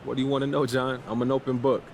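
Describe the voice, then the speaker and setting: An actor playing a cocky, defiant young American basketball star, casual and boastful in a sports game's post-game interviews. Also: male voice, medium pitch, level tone, fast talker, a little hiss or hum, natural voice. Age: young adult. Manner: boastful, self-assured, casual.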